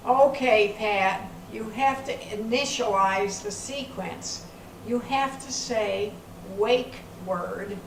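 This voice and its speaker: A confident know-it-all grandmother, acted by a woman on stage, gives slow instructions at a middle pitch. The recording is distant, with light background noise. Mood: bossy, assured. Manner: instructive, assured. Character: confident, bossy grandparent, self-styled tech expert. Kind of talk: amateur readers theater. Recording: echoey room from far off, faint noise.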